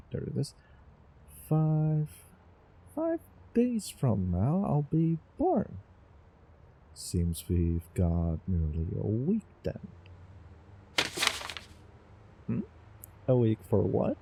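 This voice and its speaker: A man playing a doting, obsessive young time traveler in love, in a theatrical romantic audio roleplay with halting, repeated words. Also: mid-range voice, huge pitch swings, dark tone, a little hiss or hum, heavily acted. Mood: amused, curious. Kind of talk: over-the-top romantic roleplay audio drama.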